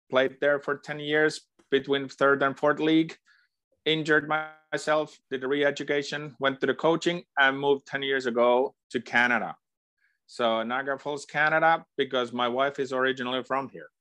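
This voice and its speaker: A man's voice: medium pitch, pushed and bright, no echo. Finnish-accented man, plain and matter-of-fact. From Finland. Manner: plain, matter-of-fact, short listing phrases. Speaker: soccer coach and guest. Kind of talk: casual interview answers.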